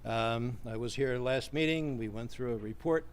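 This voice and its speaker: A man's voice: mid-range voice, moderate pace, smooth. A man presenting a report at a public meeting and explaining things informally. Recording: faint background noise in meeting room.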